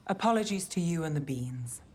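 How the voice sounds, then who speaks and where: A wry, unfussy woman from a fantasy game. Her voice sits very low for a woman, and she tosses off lines breezily over music. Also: lively intonation, fairly quick, a little mellow, husky. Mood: wry, breezy. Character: wry, unfussy female companion in fantasy adventure.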